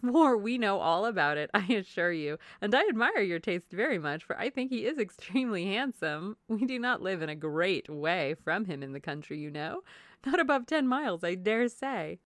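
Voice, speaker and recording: A woman voicing a merry, silly young married gentlewoman in a classic novel, effusive and giggly. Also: medium pitch, sing-song, normal speed, dry recording, studio quality, close to an everyday voice. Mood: playful, teasing. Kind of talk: audiobook character voice, classic novel.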